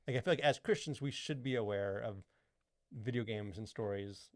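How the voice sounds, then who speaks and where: A man's voice: fairly low pitch, moderate pace, no room sound, clean studio sound. A man co-hosting a podcast in casual chat, rambling with lots of "like" and "you know". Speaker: podcast co-host.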